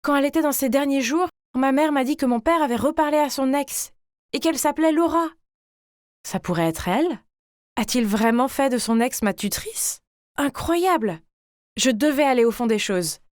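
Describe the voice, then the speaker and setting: High-voiced woman narrating a dramatic story. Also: normal speed, no room sound, studio quality. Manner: theatrical, expressive, as a teenage girl telling her own story. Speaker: story narrator in the first person.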